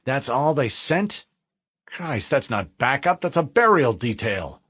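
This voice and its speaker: A man's voice: mid-range voice, big pitch swings, slow pace, fairly bright tone, breathy edge, no echo, pitched above the performer's own voice, exaggerated voice. A man reading an audiobook, voicing a nervous young male marine private who speaks in a shaky, edgy way.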